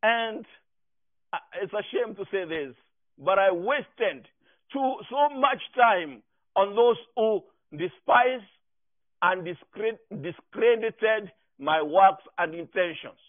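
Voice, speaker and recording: A man's voice: sits high, lively intonation, slow. A man with a Kenyan accent preaching a sermon in an emphatic, exhorting way, repeating his key phrases. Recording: echoey room, thin phone-like sound.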